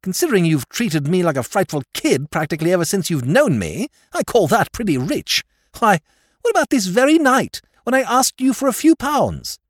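A man voicing a petulant young aristocrat who rants in shrill, old-fashioned upper-class slang. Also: sing-song, normal speed, no room sound, clean studio sound. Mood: indignant.

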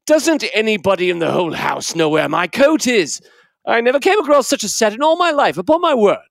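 A blustering middle-aged uncle voiced by a man in an affected British accent barks orders at his household. The voice sits high and keeps jumping as he fusses. It sounds close and dry. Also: very animated, fairly quick, creaky, dry recording, full character voice. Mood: exasperated. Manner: blustering, ordering everyone about.

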